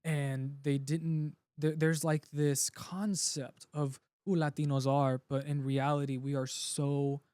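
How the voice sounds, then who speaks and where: Earnest Puerto Rico-born man, fairly high voice. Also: little pitch movement, slow pace, few pauses, dark tone, clear, resonant tone, no echo. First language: Spanish. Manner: earnest, heartfelt, with frequent restarts. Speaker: actor, interview guest. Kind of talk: casual interview conversation.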